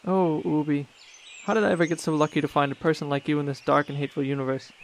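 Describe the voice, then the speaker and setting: An actor playing a sweet, gushing younger brother in a comic audio drama, effusive and earnest. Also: male voice, fairly high pitch, fairly even intonation, fast, slight background noise, lightly acted. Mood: lovestruck.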